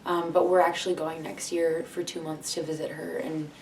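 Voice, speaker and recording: A woman's voice: sits low, flat delivery, normal speed, darkish tone, reverberant, recorded from a distance, a little hiss or hum, poor audio. A teenage girl, a host sister, talking hesitantly in an interview.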